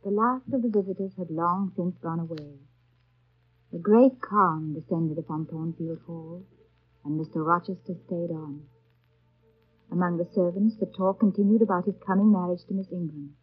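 A woman's voice: fairly low pitch, steady tone, moderate pace, husky, faint music behind. An actress in a 1930s radio drama playing an earnest, passionate young governess in love with her master, speaking in a formal, literary way. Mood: wistful, tender. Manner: literary, formal, heartfelt delivery. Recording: dull lo-fi 1930s radio recording.

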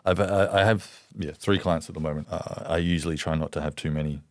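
A man with a very deep voice and an Australian accent, a podcast guest talking candidly and hesitantly, with many ums and repeated words. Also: fairly even intonation, quick pace, no echo. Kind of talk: candid interview conversation.

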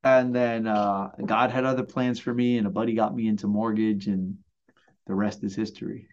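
A man in his forties, born in Puerto Rico and raised in Brooklyn, chatting as a mortgage loan officer and telling animated stories with many fillers. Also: lowish voice, moderate pace, muffled recording. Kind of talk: casual storytelling interview.

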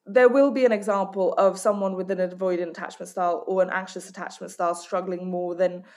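A woman's voice: lowish voice, fairly even intonation, fairly quick, a little mellow. A woman from Hong Kong, working as a life coach and author, giving direct and emphatic answers in a podcast interview.

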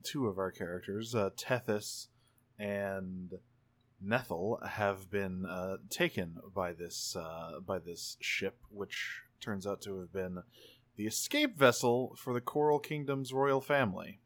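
A man casually co-hosting a tabletop role-play podcast, halting and repeating words as he narrates. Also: lowish voice, no echo. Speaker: podcast co-host.

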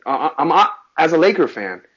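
A man's voice: fairly high pitch, fairly quick, husky. A man who grew up speaking Mandarin, a guest on a casual interview podcast, rambling with lots of restarts. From Southern California. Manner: rambling, frequent restarts, animated on sports. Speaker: guest, actor and musician. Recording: dull lo-fi podcast audio.

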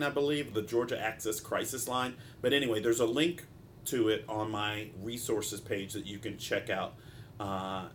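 A man with a stopped-up nose hosting a solo podcast in an informal, halting and discursive way, often drifting into asides. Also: mid-range voice, slight background noise. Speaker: podcast host, mental health practitioner.

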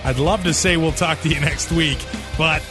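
A man co-hosting a casual sports podcast, talking in a relaxed, halting way with lots of fillers. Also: on the high side, music in the background. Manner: relaxed, hesitant with many fillers. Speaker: podcast co-host.

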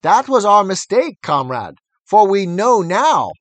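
A man voicing Squealer, a persuasive, excitable male pig who talks fast and insistently. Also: sits high, no room sound, voice pushed up, exaggerated voice. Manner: smooth, insistent, wheedling.

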